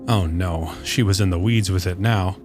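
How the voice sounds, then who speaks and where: A man's voice: low-pitched, normal speed. A man reading scripted fiction as the narrator of a story. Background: soft guitar music.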